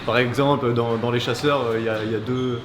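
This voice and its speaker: A man's voice: mid-range voice, fairly even intonation, fast talker, a little hiss or hum. A young man, a character designer, explaining his work casually with frequent hesitations. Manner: hesitant, many fillers.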